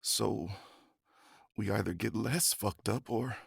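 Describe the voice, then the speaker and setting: Bitter, loyal young man: gravelly, slow, subdued intensity, dry close recording. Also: lowish voice, dry recording, heavily acted. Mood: pained, resolute. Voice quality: husky, gravelly. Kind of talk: horror audio drama acting.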